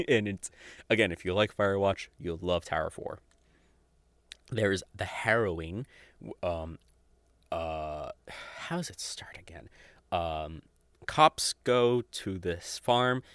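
Male podcast host, lower register, informal and enthusiastic, giggly delivery, very dry recording. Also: unhurried, pauses often, a bit bright, clear, resonant tone, no room sound. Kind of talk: casual solo podcast chat, recommending shows.

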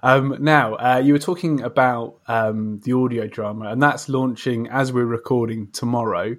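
Male podcast host, mid-pitched voice, friendly interviewer delivery. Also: fairly even intonation, moderate pace. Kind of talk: scripted podcast intro and friendly interview chat.

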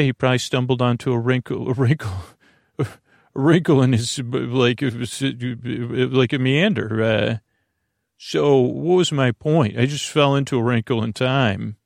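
A man hosting a sleep podcast, with a gravelly voice and a halting, meandering delivery that stammers, repeats words and trails off. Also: mid-range voice, no echo, studio quality. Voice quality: gravelly, creaky. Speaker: host of a bedtime sleep podcast. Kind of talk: rambling bedtime monologue.

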